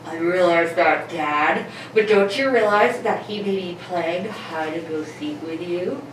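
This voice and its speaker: A woman's voice: lowish voice, unhurried, natural voice. A woman playing a clever, impatient detective son in an amateur comedic radio play, with a wry, explaining manner. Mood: reasoning. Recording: echoey room, distant mics, noisy.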